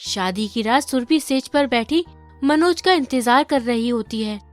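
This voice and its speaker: A woman narrator reads a scripted horror cartoon story. Her voice is high-pitched, and music plays clearly behind her. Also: animated, measured pace, dry recording.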